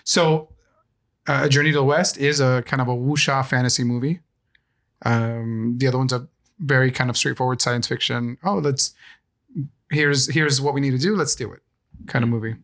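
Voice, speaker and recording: A man in casual podcast talk, his voice mid-pitched and ordinary. He rambles and restarts while working out his opinions, and drops dry jokes. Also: steady tone, a bit bright. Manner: rambling, halting, wry asides. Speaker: podcast co-host.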